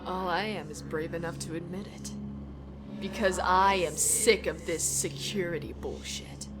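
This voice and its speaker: A woman's voice: on the low side, fairly even intonation, a little slow, close to an everyday voice. Lady acting out savage evil cyborg pony mare, sneering, mocking, sweary rants, story reading over music. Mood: bitter, sneering. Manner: mocking, ranting, profane. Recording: music bed behind the voice.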